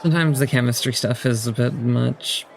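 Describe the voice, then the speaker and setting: Woman playing awkward young female student, gravelly fry, understated, hesitant, audio drama. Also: a bass voice, moderate pace, some chatter behind, slight background noise. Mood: shy, friendly.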